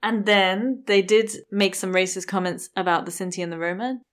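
A woman's voice: medium pitch, steady tone, normal speed. A woman co-hosting a discussion podcast, speaking in a thoughtful, frank, conversational way. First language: not German. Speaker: podcast co-host.

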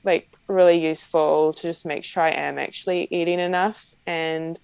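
A woman's voice: sits low, flat delivery, moderate pace, gravelly, a little mellow, clean tone, no room sound. A young woman with a strong New Zealand accent answering interview questions casually, full of "like" fillers and light laughs. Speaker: professional cyclist guest. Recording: slightly dull lo-fi sound.

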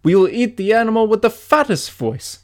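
A man voicing a cunning fox in a dark fairy tale that he reads aloud. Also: high voice, big pitch swings, moderate pace, voice pushed up. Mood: scheming. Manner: read-aloud storybook delivery.

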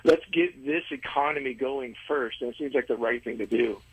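A man being interviewed as a guest on a radio show, explaining things earnestly in halting phrases with repeated words. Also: medium pitch, breathy edge. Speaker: interview guest, independent venue organizer. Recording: tinny phone-like line.

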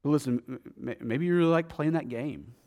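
A man preaching a sermon as pastor, conversational, with rhetorical questions and dramatic swings between loud emphasis and quiet asides. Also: on the high side, a little fast.

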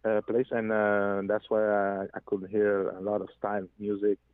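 A man's voice: fairly low pitch, monotone, moderate pace, dry recording. Hesitant man on a tinny phone line. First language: French. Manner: hesitant, searching for English words, many restarts. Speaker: guest singer. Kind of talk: interview over the phone. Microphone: telephone.

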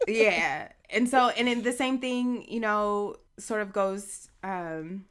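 A woman's voice: mid-range voice, a bit bright, clean tone. A young woman co-hosting a podcast, talking in a reflective, agreeable casual chat. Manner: reflective, agreeable, quick to laugh. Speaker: podcast co-host.

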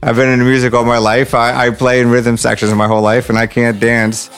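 Chatty male podcast host, medium pitch. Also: monotone, brisk, a bit bright, soft background music. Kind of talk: casual podcast interviewing.

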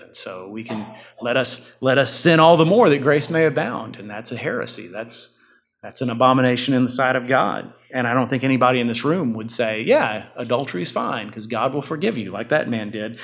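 A man's voice: mid-range voice, lively intonation, fairly quick, fairly bright tone. A man at the pulpit preaching with an earnest, rising and falling cadence. His voice sits in an ordinary middle range. He speaks to a congregation in a church. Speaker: pastor. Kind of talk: sermon.

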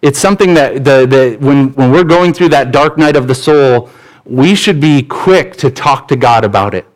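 A man's voice: medium pitch, normal speed, low-quality recording. A man preaching a sermon as pastor, earnest and conversational, often restarting his phrases. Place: church.

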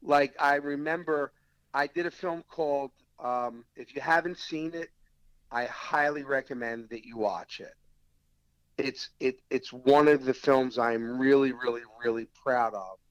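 A man's voice: medium pitch, measured pace, smooth, no echo, poor audio. A man with a South Philadelphia accent, an actor telling stories in a casual interview, acting out what others said to him. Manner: animated anecdote-telling, quoting others in dialogue. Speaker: actor guest.

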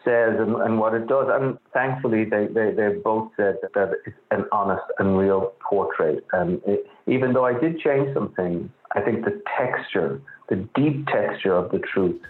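A man's voice: on the low side, normal speed, few pauses. An Irish man, an author, answering interview questions earnestly and often repeating words as he searches for phrasing. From Ireland. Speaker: author guest. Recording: echoey tinny phone-like line.

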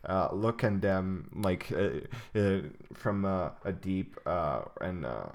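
A man's voice: deep voice, takes it slowly. A young man co-hosting a casual podcast, talking with many fillers and restarts. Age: young adult. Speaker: podcast co-host. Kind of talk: casual chat.

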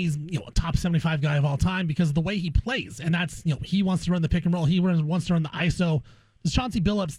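A man's voice: fairly high pitch, a little mellow, dry recording, rough recording. A man hosting a casual sports talk interview, speaking in an opinionated way. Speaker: sports radio guest host and interviewer.

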